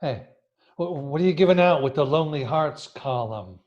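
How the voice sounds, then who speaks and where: An actor playing a tough, touchy jailed gangster in a radio drama, slangy, defensive and volatile, over dull lo-fi audio. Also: male voice, on the high side, animated, moderate pace, darkish tone, natural voice. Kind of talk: old-time radio drama acting. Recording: dull lo-fi live-stream audio.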